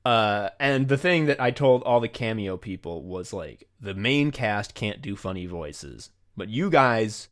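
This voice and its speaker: An American man hosting a comedy podcast, talking casually in an animated, sweary way. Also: fairly low pitch, a little slow, few pauses. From United States. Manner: animated, sweary, laughs readily. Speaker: podcast host.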